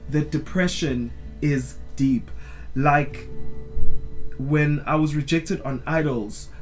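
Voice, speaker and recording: A young South African man with a big, broad voice, talking openly and expressively in a personal vlog, with many restarts. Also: mid-range voice. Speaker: vlogger. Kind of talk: candid personal vlog monologue. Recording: music playing clearly behind. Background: guitar music.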